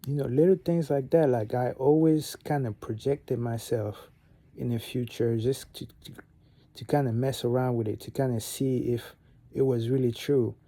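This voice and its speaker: Gabonese guy, french first language, rambly vlog talk, gravelly low tone, dead dry room. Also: normal speed, no room sound. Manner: rambling, many fillers and restarts, animated on key points. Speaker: vlogger. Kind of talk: casual motivational talk to camera.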